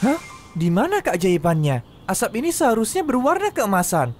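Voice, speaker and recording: A high, very lively cartoon voice for a kind little boy engine, earnest and childlike, always eager to help. Loud music plays behind it. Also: normal speed. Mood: puzzled, disappointed. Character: cheerful, kind young male tank engine, eager to help. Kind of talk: dubbed children's cartoon acting.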